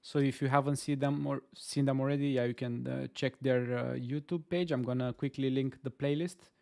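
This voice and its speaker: Romanian man with a gravelly, dry voice. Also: medium pitch, steady tone, a little fast, darkish tone, dry recording. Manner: hesitant, many fillers and restarts. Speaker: stream co-host. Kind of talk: casual chat. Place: Stockholm.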